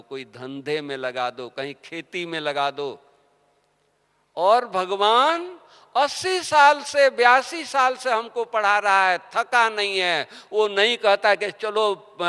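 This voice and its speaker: A man giving a devotional sermon, telling stories and asking rhetorical questions, his voice swinging between soft and loud. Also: a little high, clean tone. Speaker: spiritual teacher. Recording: long hall reverb.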